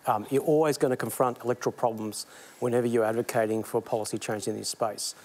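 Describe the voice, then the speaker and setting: A man's voice: medium pitch, steady tone, fast, darkish tone. A man giving an analytical political assessment on a panel. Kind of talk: panel debate.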